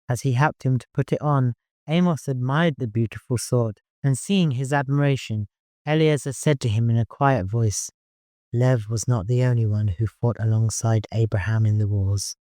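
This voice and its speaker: Man, audiobook narration, literary storytelling, medium pitch, very dry recording. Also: darkish tone, dry recording.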